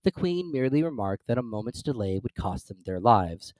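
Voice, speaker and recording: Brief: measured, dramatic narration, wide-ranging pitch, mid-pitched voice. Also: sing-song, no echo. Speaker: storyteller. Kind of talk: dramatic reading of a classic tale. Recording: dry close recording with soft music.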